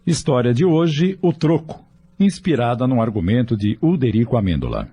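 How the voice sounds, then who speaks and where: A man announces and narrates a scripted radio story in a mellow, dark voice. His mid-pitched delivery is expressive and varied, over soft music. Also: moderate pace.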